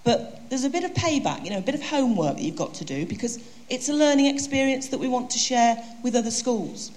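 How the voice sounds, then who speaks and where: A woman giving a conference presentation and handing over to a colleague, explaining in a lively way. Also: a little high, brisk.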